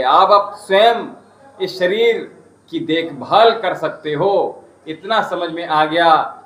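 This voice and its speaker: A man's voice: a little high, a bit bright, reverberant, poor audio. A man teaching yoga and ayurveda on a live stream, earnestly urging listeners with repeated polite requests, with a western Uttar Pradesh Hindi accent. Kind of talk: live-streamed spiritual health talk.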